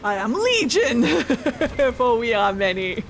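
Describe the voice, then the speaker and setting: A woman's voice: a little high, sing-song, slow, a little hiss or hum. Chatty Scottish woman streaming a game. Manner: chatty, swears freely, talks to her chat. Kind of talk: casual gameplay commentary and dramatic codex reading.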